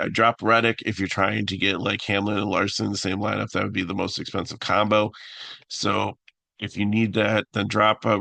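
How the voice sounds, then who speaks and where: A man with a raspy voice hosting a casual fantasy picks talk, halting with many fillers and restarts. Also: on the low side, pushed and bright, no echo. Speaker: fantasy sports analyst host.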